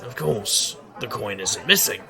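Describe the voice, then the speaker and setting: Man playing a gravelly, wry private eye. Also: fairly low pitch, expressive, a little slow, bright, forceful tone, full character voice. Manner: wisecracking, sardonic. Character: wry, hard-drinking male private eye and street magician. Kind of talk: noir audio drama acting and narration. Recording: crowd murmur behind.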